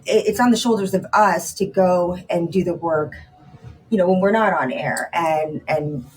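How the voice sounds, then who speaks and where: A woman's voice: fairly low pitch, moderate pace, a little mellow, far from the mic, a little hiss or hum, rough recording. A woman in her forties hosting a podcast, talking in a chatty way with many fillers. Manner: chatty, lots of filler words. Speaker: podcast host, TV anchor. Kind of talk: casual interview chat. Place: podcast studio with decorated shelves. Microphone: large studio mic on boom.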